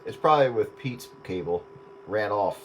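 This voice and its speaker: A man's voice: fairly low pitch, animated, moderate pace. Hesitant, wry man on a staticky radio. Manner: hesitant, self-deprecating, wry. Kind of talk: casual radio chat. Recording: radio audio with clear static.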